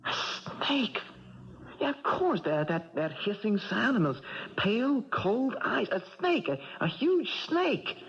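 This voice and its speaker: A man's voice: sits high, very animated, slow, gravelly, a bit bright, slight background noise, full character voice. An actor playing a sleepless, frightened young male boxer in a 1970s radio drama, stammering and groping for words. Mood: frightened, dawning dread. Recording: dull 1970s radio recording.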